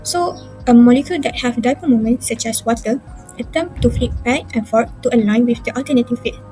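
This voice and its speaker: A woman's voice: a little high, a little fast, warm tone, soft background music. A young woman reading a scripted class presentation as a student.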